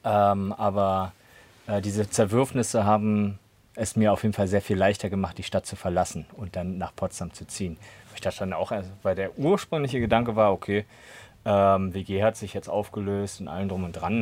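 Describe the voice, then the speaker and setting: Rambling low-voiced young man. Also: moderate pace, a little mellow. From Berlin, Germany. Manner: rambling, many fillers and "und sowas". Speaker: conversation partner. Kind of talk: casual chat.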